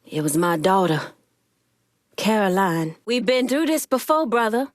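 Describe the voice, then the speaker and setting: A woman playing a commanding, wary female gang leader in a dramatic video game, speaking deliberately and sternly with folksy turns of phrase. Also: medium pitch, sing-song, no echo, studio quality. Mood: firm, warning.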